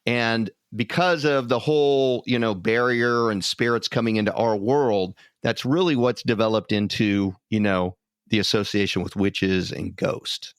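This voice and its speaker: A man's voice: lowish voice, fairly even intonation, measured pace, clear, resonant tone, no echo, clean studio sound. A man co-hosting a podcast in a casual, conversational storytelling style. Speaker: podcast co-host.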